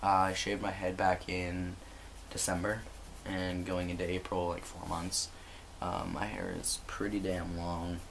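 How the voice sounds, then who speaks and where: Low-voiced young man, rambling vlog. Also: unhurried, creaky, a little hiss or hum. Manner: relaxed, rambling, many ums and likes. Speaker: vlogger. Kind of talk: casual vlog update. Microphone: built-in computer camera mic.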